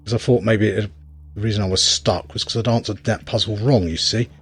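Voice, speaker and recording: Male gameplay commentator, slightly deep and husky, dry humour, loose off-the-cuff delivery. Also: steady tone, a little fast, fairly bright tone. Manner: wry, rambling, thinking aloud. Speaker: game reviewer and player.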